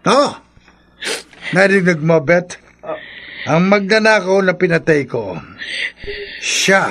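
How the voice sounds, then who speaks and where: An actor playing a ruthless, hot-tempered killer in a horror radio drama, with a menacing, taunting delivery. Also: male voice, high-pitched, expressive, slow pace, a bit bright, a little hiss or hum, heavily acted. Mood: defiant, irritated. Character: ruthless violent killer, hot-tempered husband-type man.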